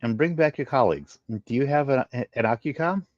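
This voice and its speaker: Brisk, decisive female agent, voiced by a man, raised pitch, story reading. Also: expressive, lightly acted. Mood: businesslike. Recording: muffled, roomy home recording.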